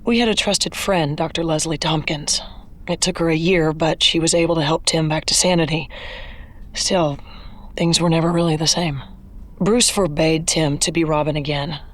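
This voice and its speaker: A woman's voice: low-pitched, fairly even intonation, normal speed. A woman playing a weary, matter-of-fact older female police commissioner in an audio drama. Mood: somber, resigned.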